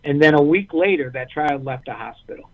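A man from Massachusetts calls into a radio interview and tells his story in an earnest, halting way, stopping and restarting his sentences. His voice sits a little high for a man and comes through a thin, phone-like line. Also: expressive. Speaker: guest, nonprofit representative.

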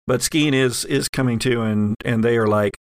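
A man working as game master, narrating an improvised tabletop horror game in halting, pieced-together descriptions. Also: mid-range voice, fairly even intonation, no room sound, clean studio sound.

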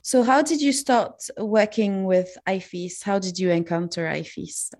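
Host: friendly woman, mid-pitched, giggles, casual interviewer. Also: unhurried, darkish tone. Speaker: podcast host. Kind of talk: interview hosting.

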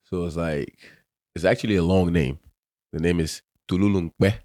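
Young male guest from Togo, very deep, casual and slangy, dry close recording. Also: moderate pace, no echo. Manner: laid-back, slangy, lots of "you know", trails off quietly. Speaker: guest, soccer player. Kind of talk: casual interview.

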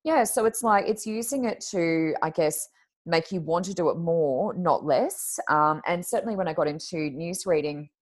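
A woman with a naturally deep voice, talking in a relaxed, unhurried way. Her pitch sits very low, and her speech is loose and conversational, with little pauses and fillers as she thinks aloud. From Australia. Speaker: podcast co-host and card reader. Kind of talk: casual conversational chat.

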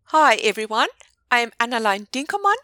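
A woman with a South African accent introducing herself as a podcast guest in a friendly, brisk manner. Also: on the high side, sing-song, bright, forceful tone, dry recording. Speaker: business program founder.

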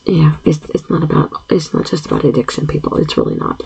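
A woman in her fifties speaking an earnest, halting personal monologue, often clearing her throat and pausing on fillers. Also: deep voice, huge pitch swings, darkish tone, poor audio. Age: 50s.

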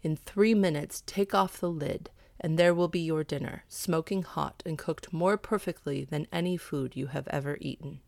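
A woman voicing a kindly old male priest who speaks in a gentle, measured, solemn way in a storybook. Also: sits low, vocal fry, no room sound. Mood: reassuring, instructive. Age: older.

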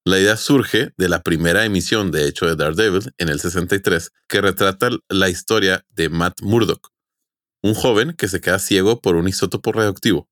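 Mexican guy hosting a podcast, low voice, jokey storytelling, dry close sound. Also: moderate pace, fairly bright tone, dry recording. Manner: jokey, colloquial. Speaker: podcast host. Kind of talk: casual podcast storytelling with friends.

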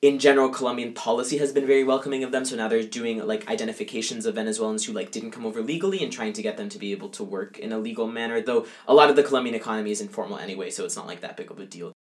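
A man's voice: fairly low pitch, very fast, hardly any pauses. A young male college student answering interview questions casually, with frequent fillers and restarts. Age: young adult. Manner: conversational, many fillers like "like" and "um".

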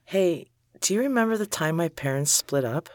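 A woman's voice: fairly low pitch, lively intonation, moderate pace, dry recording, clean studio sound, lightly acted. A woman playing a guarded, prickly, grieving young woman in a naturalistic audio drama, curt and deflecting with her old friends. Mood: defensive.

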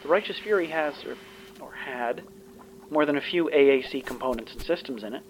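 A man's voice: a little fast, lightly acted, low-quality recording. A man playing a dry, practical starship engineer in an audio drama, wry and conversational. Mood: puzzled, wry. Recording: faint ship rumble behind.

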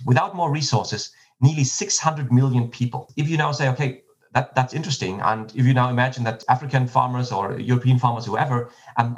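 A man from Germany giving measured, explanatory answers in a podcast interview, often restarting his phrases. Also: medium pitch, highs cut off.

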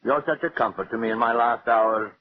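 A man's voice: mid-range voice, a little fast, lightly acted. An actor playing a dying husband who suavely taunts his wife in theatrical, mock-affectionate tones, in a 1940s radio drama. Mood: gleeful mockery. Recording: muffled, hissy old radio recording.

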